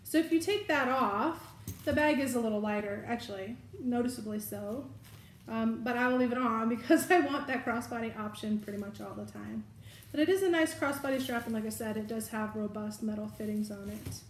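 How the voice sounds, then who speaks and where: A middle-aged woman talks casually through a haul, pointing out pockets and straps and stopping to correct herself. Her voice sits a little high. The room is echoey, with a faint hiss underneath. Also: fairly quick. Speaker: vlogger showing a shopping haul. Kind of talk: casual product review. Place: living room at home, indoors. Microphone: fixed camera at table distance.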